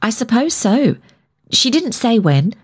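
A woman's voice: fairly high pitch, sing-song, measured pace, husky, voice pushed up, exaggerated voice. A woman reader voicing a vague but positive female house guest in a story, matter-of-fact and self-important.

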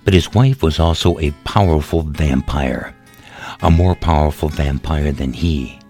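A man's voice: very deep voice, a little slow, dark tone. A man reading a scripted story as a dramatic narrator. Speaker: author narrating own story. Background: music.

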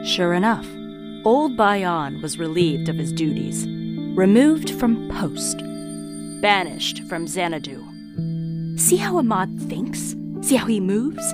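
Sly storyteller, swooping intonation. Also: female voice, lowish voice, big pitch swings, slow, loud music behind the voice. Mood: knowing, relishing. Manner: teasing, relishing, conspiratorial. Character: sly, knowing female storyteller of court intrigue. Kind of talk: dramatic narration in audio drama.

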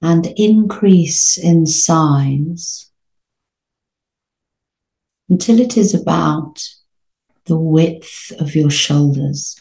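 A woman leading a guided sleep meditation in a soothing, gentle, unhurried manner with long pauses. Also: low-pitched, creaky, echoey room, low-quality recording.

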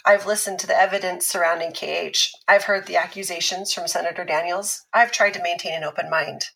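An actress playing a calm, analytical woman expert who explains patiently and teases a little. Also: on the low side, creaky, poor audio. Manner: measured, explanatory, lightly teasing. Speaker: expert on abuse recovery. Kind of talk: scripted podcast drama dialogue.

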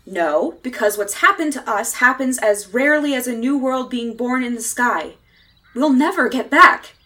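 A woman's voice: on the high side, expressive, normal speed, sharp tone. A woman acting a doomed, fatalistic castaway in time, ominous and insistent, in a 1930s horror radio play style. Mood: grim, resigned. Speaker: actress in a radio drama.

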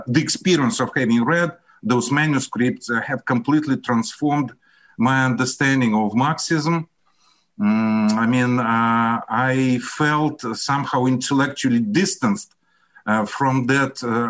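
An older man with a Russian accent, a professor answering interview questions in a reflective, hesitant way with many pauses and fillers. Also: medium pitch, a bit bright.

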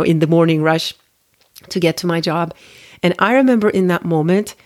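A woman in her forties from Turkey, hosting a podcast and telling her own story in a reflective, conversational way with restarts and fillers. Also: sits low. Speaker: podcast host. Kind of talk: personal storytelling monologue.

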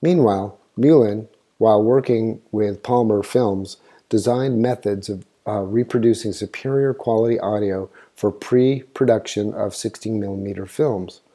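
A man's voice: lowish voice, normal speed, warm tone. A man hosting a history show, reading a scripted narration in an informative storytelling way, now and then stumbling over a word. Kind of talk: scripted narration, read aloud.

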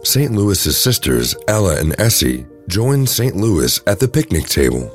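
A man's voice: low voice, big pitch swings, a little slow, faint music behind. A man narrating an audio drama from a script, setting each scene.

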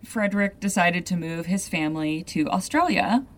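A young adult woman with a deep voice, hosting a podcast and telling a story in a loose, chatty way full of "like" asides. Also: lively intonation, normal speed. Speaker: podcast host. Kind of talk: casual storytelling chat.

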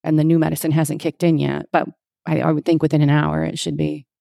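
A woman's voice: low voice, little pitch movement, fast, gravelly, warm tone, no echo, studio quality. A woman physical therapist hosting a casual interview, chatty and encouraging, often laughing. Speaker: physical therapist and podcast host.

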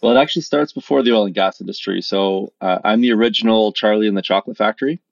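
A man who is a podcast guest telling his story in a relaxed, measured way, with filler pauses. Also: on the low side, gravelly, dry recording. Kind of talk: conversational storytelling. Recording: dull lo-fi remote audio.

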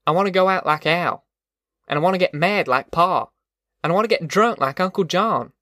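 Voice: medium pitch, brisk, fairly bright tone, dry recording, clean studio sound, pitched above the performer's own voice, lightly acted. A narrator voicing a weary, sensible young farm man with plain rustic speech in an audiobook. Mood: tired, wry. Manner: folksy rustic dialect, plain-spoken. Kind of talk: audiobook character voice.

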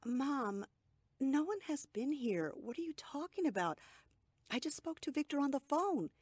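A woman's voice: high voice, expressive, a little slow, darkish tone, no echo, clean studio sound, voice pushed up. A woman voicing her worried adult daughter, speaking up in a raised, insistent tone. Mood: puzzled.

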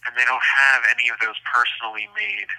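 A man's voice: medium pitch, little pitch movement, fast, pushed and bright. A man speaking as an interview guest in an earnest, emphatic way, over what sounds like a phone call. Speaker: incarcerated podcast guest. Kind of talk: conversational interview.

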